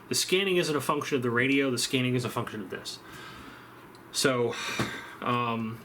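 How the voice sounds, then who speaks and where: A man giving an informal, unscripted technical explanation as a demonstrator, halting as he thinks aloud. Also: mid-range voice, a bit bright, a little hiss or hum. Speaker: presenter of an electronics demonstration.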